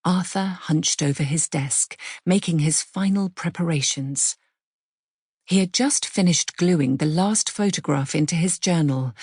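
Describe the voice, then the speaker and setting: Slow, husky British woman narrating fiction. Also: deep voice, darkish tone, no room sound, studio quality. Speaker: audiobook narrator. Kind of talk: scripted suspense fiction narration.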